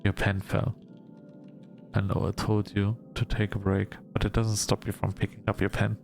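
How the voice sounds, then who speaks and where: Gravelly-voiced man narrating a visual novel. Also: fairly low pitch, monotone, normal speed, darkish tone, faint music behind. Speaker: gamer reading a visual novel aloud for a video. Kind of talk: scripted narration with casual asides.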